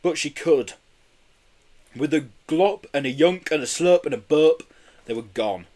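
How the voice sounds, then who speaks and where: A man's voice: fairly high pitch, lively intonation, unhurried, darkish tone. A man reading a children's storybook expressively, occasionally stumbling over his words. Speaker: audiobook reader.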